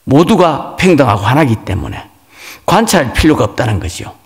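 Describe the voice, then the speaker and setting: A man's voice: medium pitch, very animated, fairly quick, gravelly. A man, a Buddhist monk, gives an explanatory dharma talk on scripture, posing questions and answering them. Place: large reverberant hall. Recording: long hall reverb.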